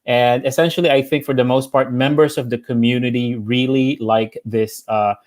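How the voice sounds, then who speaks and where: A man's voice: mid-range voice, steady tone, normal speed, darkish tone. Thoughtful man speaking as panelist. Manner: thoughtful, with frequent "uh"s. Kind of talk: panel discussion.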